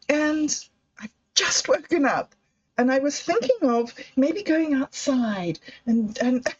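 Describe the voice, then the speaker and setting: A woman raised in Zimbabwe, talking slowly and unhurriedly as she rambles through memories, laughing softly and letting sentences trail off. Her voice sits a little high. The sound is dull and lo-fi. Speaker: livestream host. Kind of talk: rambling personal storytelling.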